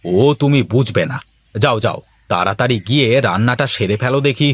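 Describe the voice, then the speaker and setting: A man's voice: medium pitch, expressive, normal speed, husky. A man voicing a devoted village son and firm husband in a cartoon. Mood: defiant, annoyed. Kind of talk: cartoon voice acting.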